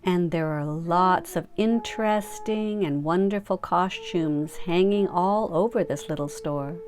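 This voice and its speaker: A woman leading a guided meditation, giving soft, unhurried instructions to relax and imagine. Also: on the low side. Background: music.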